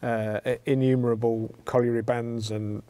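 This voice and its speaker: A man's voice: mid-range voice, little pitch movement, normal speed, dark tone. A man in his fifties from England hosting an interview, speaking in a considered, hesitant way with many restarts, on a lapel mic in a studio hall. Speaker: interviewer host. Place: studio hall with a grand piano.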